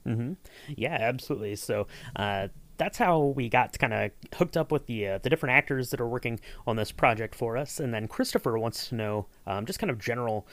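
A man's voice: medium pitch, animated, brisk. A man hosting a casual behind-the-scenes podcast, talking in a loose, hesitant, rambling way. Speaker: podcast host.

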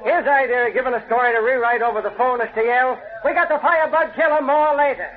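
High, barking, apoplectic newspaper editor. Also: male voice, fast. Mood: irritated. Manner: barking, exasperated. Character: apoplectic, impatient, sarcastic newspaper city editor. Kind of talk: 1940s radio acting. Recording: tinny old radio recording, busy background.

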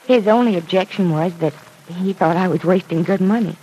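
A woman's voice: on the low side, a little fast, warm tone, dull audio, slight background noise, natural voice. Penny, a woman acting the earnest heroine. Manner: earnest, explanatory storytelling. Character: Penny Gibbs, a kind, well-off young woman. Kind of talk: 1940s radio drama acting.

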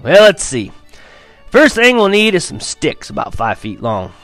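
A man voicing a cheerful, booming old grandfather in a spoken story, loud and hearty. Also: huge pitch swings, measured pace, faint music behind, exaggerated voice.

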